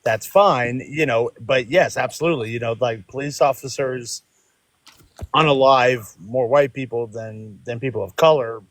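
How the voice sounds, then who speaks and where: A man in his forties from Florida calls into a live stream. He speaks in a measured, reasoned way. His voice is an ordinary mid-range. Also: mid-range voice, a bit bright. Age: 40s. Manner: measured, reasoned, stalling a little. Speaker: caller.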